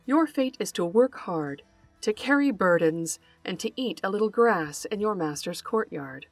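A woman voicing a solemn male divine creator in a storybook fable, pronouncing fates in a measured way. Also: medium pitch, animated, dry recording, soft background music.

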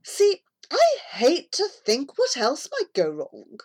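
A woman's voice: high voice, huge pitch swings, slow, darkish tone, voice pushed up, heavily acted. Woman putting on a sneering bully of a man.

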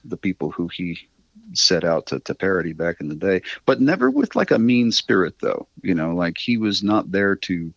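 A man's voice: low voice, dry recording, highs cut off. A middle-aged American man reminiscing in a casual, rambling, conversational way as an interview guest. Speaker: interview guest, writer and musician.

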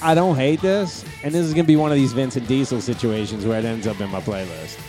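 A man's voice: mid-range voice, quick pace. A man co-hosting a podcast, chatting casually with profane, teasing banter over music. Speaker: podcast co-host. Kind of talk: casual chat.

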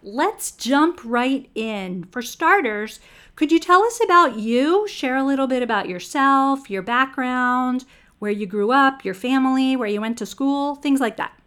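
Bright-voiced woman podcast host. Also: high-pitched, lively intonation, a little slow, smooth. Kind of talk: scripted intro and interview questions.

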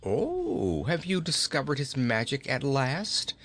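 A man's voice: lowish voice, huge pitch swings, a little slow, a bit bright, full character voice. A man voicing a mocking warrior king in a storybook, taunting and ponderous. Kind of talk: storybook character reading.

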